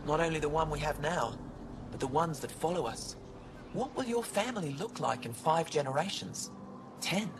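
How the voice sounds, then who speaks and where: An actor voicing a brusque older alien biologist in a video game, with a curt, formal, precise way of talking. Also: male voice, on the high side, lively intonation, moderate pace. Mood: grave, reflective. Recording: clearly noisy game ambience.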